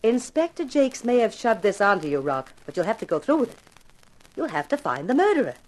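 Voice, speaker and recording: A woman acting as a pushy, excitable TV producer in a 1950s radio drama, gushing and bossy. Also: on the high side, fairly quick, low-quality recording. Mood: urging. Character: pushy, excitable female TV producer hungry for publicity.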